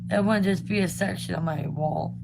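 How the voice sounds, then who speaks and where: A young woman talks casually while she draws, her voice lowish and raspy from a recent illness. She rambles along, full of ums and likes. A steady hum and noise sit under the home recording. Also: moderate pace. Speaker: art vlogger. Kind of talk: casual chat while drawing.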